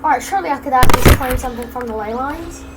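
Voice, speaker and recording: A young woman giving casual commentary while playing a game, with deadpan remarks. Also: sits high, rapid-fire, very noisy. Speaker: gamer. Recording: voice over loud game music.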